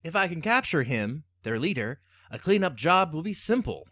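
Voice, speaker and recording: A heroic American army captain, acted by a man in an old radio serial. His voice is on the high side for a man and swings in a lively way, earnest and clipped. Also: moderate pace, dry recording, studio quality. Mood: confident. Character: brave, dutiful American army intelligence captain. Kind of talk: 1940s-style radio serial acting.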